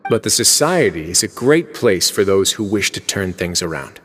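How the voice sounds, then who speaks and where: An actor playing a laid-back, wry prison warden in a video game, who speaks calmly with dry, understated humor. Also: male voice, low-pitched, moderate pace, faint music behind.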